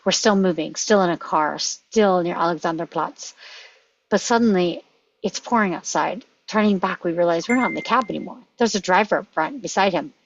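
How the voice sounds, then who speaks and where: A woman's voice: lowish voice, normal speed, dull audio, slight background noise. A woman reading screenplay stage directions aloud in clipped fragments. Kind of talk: script read-aloud.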